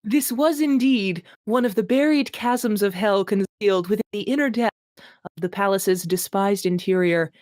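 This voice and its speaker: Woman, comic reader and host, giggly, mock-dramatic delivery, medium pitch, dry voice-chat audio. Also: a little mellow, dry recording. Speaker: host reading aloud. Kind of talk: amused comic reading with commentary. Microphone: online voice call.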